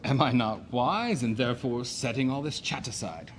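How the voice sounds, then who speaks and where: An actor playing a brash, boastful, domineering suitor in a classic comedy audio play, with declamatory theatrical delivery. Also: male voice, mid-range voice, lively intonation, moderate pace, a little hiss or hum, natural voice. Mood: cocky, insistent.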